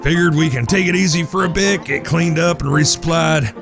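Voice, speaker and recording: A man's voice: a little high, a little fast, creaky. A man playing an easygoing outlaw brother with folksy cowboy phrasing in a western audio drama. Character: laid-back male Old West outlaw brother, the planner. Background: music.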